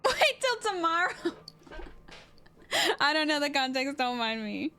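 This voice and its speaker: A woman's voice: sits high, sing-song, takes it slowly, bright, forceful tone, soft background music. A woman streaming a game with casual, playful, reactive commentary and some swearing. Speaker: streamer voicing game dialogue as an amateur. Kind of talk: casual gaming livestream commentary.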